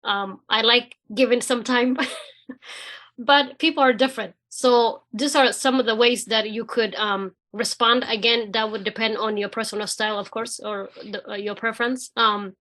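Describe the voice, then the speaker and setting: A woman with a bright, fairly high voice runs a workshop on a video call. She sounds measured and encouraging as she walks the group through her slides. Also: fairly even intonation. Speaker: workshop facilitator. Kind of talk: leading a group discussion.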